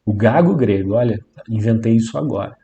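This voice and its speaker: Mellow-voiced Brazilian male language teacher. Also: lowish voice, a little fast, lo-fi sound. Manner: didactic, repeats and emphasizes key points. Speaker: Biblical Greek teacher. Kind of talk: informal instructional lecture.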